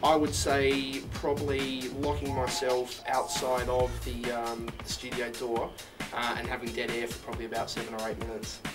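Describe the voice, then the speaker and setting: A man's voice: medium pitch, monotone, normal speed, few pauses. A man giving casual interview answers about his work in community radio. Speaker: community radio staff member. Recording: music behind, distant mic.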